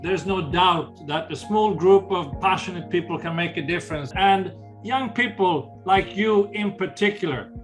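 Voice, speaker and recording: An older man with a Swedish accent and a high-pitched voice talks earnestly in an interview. He explains things patiently and sometimes restarts his phrases. Soft music plays underneath. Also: unhurried, hardly any pauses. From Sweden. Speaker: ocean conservation leader. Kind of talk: interview answers.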